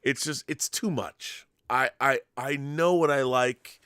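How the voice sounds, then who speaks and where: A man's voice: mid-range voice, slow, a bit bright, clear, resonant tone, dry recording, studio quality. A man hosting a casual live stream, chatting in an enthusiastic, rambling way and reading out viewer messages.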